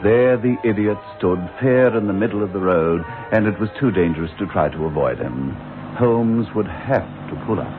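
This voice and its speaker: A man's voice: lowish voice, lively intonation, normal speed, music in the background, lightly acted. A man narrating a scripted radio drama as a wry storyteller. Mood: wry, tense. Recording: tinny old radio recording.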